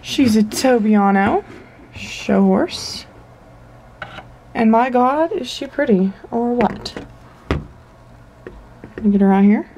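A woman's voice: a little high, slow pace, long pauses, dark tone, a little hiss or hum, poor audio. A teenage girl casually filming a tour of her toy horse collection in her bedroom, chatty and gushing with lots of fillers. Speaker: vlogger. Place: bedroom at home. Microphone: handheld camera mic.

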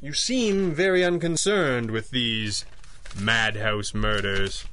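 An actor playing a suave, unflappable masked vigilante in 1930s radio style, formal and arch with dry menace. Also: male voice, mid-range voice, takes it slowly, bright, forceful tone. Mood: dry, probing.

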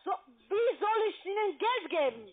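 Tinny phone call, high voiced woman w/ foreign accent, pushy call center agent arguing in halting german. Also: big pitch swings. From Saudi Arabia. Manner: insistent, pushy, halting non-native German. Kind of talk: argumentative phone conversation. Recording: tinny, narrow phone line.

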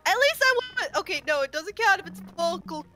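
A woman's voice: very high voice, lively intonation, a little fast, pushed and bright, faint music behind. A woman chatting casually with her co-streamers over a game stream, laughing often and sometimes searching for words.

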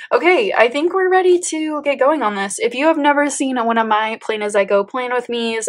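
A chatty, upbeat woman in her thirties talks through her weekly planner setup. Her pitch sits in the middle, and her casual talk keeps breaking into light laughter. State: voice lower than usual today. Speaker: planner channel host. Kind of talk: casual plan-with-me voice-over.

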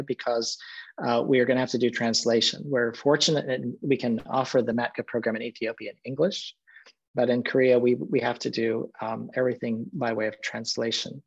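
A man's voice: medium pitch, gravelly, slightly rough. A middle-aged man, a seminary president, telling stories in an earnest, reflective way during a video-call interview from his office. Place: office with bookshelves. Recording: muffled video-call audio.

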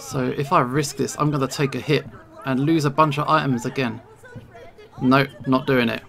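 A gamer giving jittery, nervous let's play commentary, thinking out loud while playing. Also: medium pitch, steady tone, moderate pace, creaky. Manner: nervous, jittery self-talk. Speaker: video game streamer.

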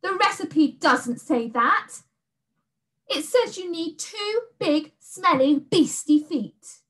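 A woman voicing a brave, quick-thinking young girl who bossily talks a beast out of his recipe. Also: very high-pitched, animated, voice pushed up, full character voice. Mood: indignant. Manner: bright, bossy, persuasive.

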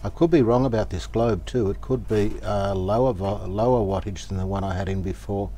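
Low-voiced man, thinking aloud. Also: fairly quick, dark tone. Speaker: host, radio restorer. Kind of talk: casual workshop commentary while working. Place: small cluttered indoor workshop. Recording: clear background noise, home workshop.

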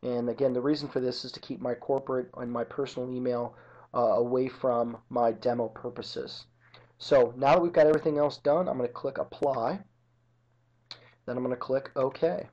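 A man narrating an informal software tutorial as an instructor, explaining each step methodically. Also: medium pitch, steady tone, moderate pace. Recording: dull lo-fi computer screen-recording audio.

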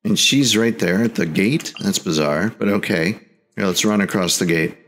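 A man's voice: fairly low pitch, moderate pace, fairly bright tone, a little hiss or hum. A man hosting a gaming video, giving casual play-by-play in short, mild exclamations. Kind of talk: casual let's play commentary. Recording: game music behind the voice. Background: video game music.

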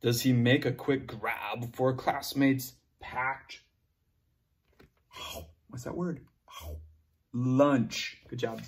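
A young man teaching young children, playful and animated, cheering them on and making sound effects while he reads a picture book aloud in a classroom. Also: medium pitch, extremely slow, long pauses. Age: young adult. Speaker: preschool teacher. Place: classroom with word cards on a board.

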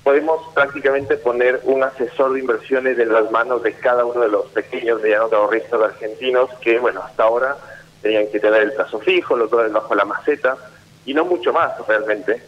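Ecuadorian man explaining calmly on radio. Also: medium pitch, highs cut off, a little hiss or hum. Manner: measured, explanatory, repeats small words. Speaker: startup founder guest. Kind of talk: explanatory interview answers. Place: radio studio. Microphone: studio boom mic.

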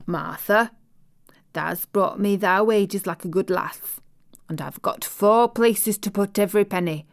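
A woman's voice: mid-range voice, natural voice. A woman voicing a cheerful, chatty Yorkshire servant girl in broad dialect, for an audiobook. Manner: broad dialect, lively and excited.

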